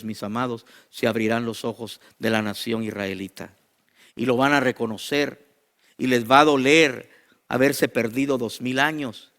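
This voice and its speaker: Creaky-voiced Guatemalan man preaching. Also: mid-range voice, a bit bright. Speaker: pastor and teacher. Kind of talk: sermon to a congregation.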